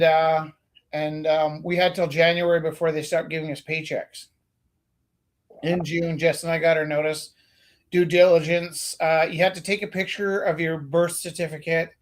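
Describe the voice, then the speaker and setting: A man's voice: on the high side, level tone, measured pace. A man from Canada tells a long, winding story with plenty of ums, restarts and the occasional casual swear. His voice sits slightly above the usual range for a man, and he talks like a teacher chatting between friends. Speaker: teacher and stream host.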